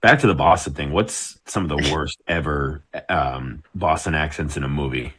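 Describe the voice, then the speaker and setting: A man's voice: extremely low pitch, moderate pace, fairly bright tone, slightly rough. A man with a New Hampshire accent, co-hosting a casual podcast chat, joking and swearing freely. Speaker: podcast co-host.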